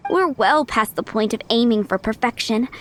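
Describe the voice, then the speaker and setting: A woman voices an overworked young waitress in a video game. Her voice is high, polite and earnest, a little flustered, with faint noise behind it. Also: expressive, moderate pace, close to an everyday voice. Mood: stressed, earnest. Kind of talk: animated video game voice acting.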